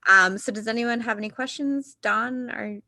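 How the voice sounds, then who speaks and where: A woman with a bright, crisp voice moderates an online Q&A briskly. She sounds upbeat and uses a few fillers, and her voice is dry and close on a video call. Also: a little high, no room sound. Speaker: event host, moderator.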